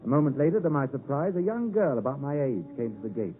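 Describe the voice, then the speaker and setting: An actor playing a grown gentleman recalling his boyhood in measured, literary 1950s radio drama narration. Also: mid-range voice, big pitch swings, slightly rough. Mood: reflective, earnest. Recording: tinny 1950s radio broadcast, music behind.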